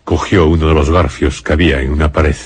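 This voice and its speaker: Narrador de voz gravísima. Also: male voice, rumbling low, steady tone, fairly quick, husky, dry recording. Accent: Castilian Spanish. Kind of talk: scripted radio drama narration. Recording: music under the voice.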